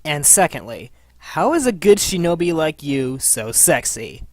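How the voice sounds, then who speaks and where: A woman hosts a scripted comedy Q&A in a lowish voice. She swings her pitch a lot, takes her time, and sounds close and dry. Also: very animated, a little slow, darkish tone, dry recording. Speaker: question-and-answer host.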